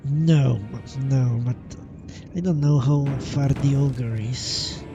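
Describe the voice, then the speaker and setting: A male streamer gives off-the-cuff commentary while playing a game. His voice is mid-pitched with noticeable fry, and he takes his time between thoughts. He thinks aloud, swears casually and expects the worst, all over very loud game music. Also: slow pace, muffled recording. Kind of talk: live gameplay commentary, casual muttering. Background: loud video game music and sound.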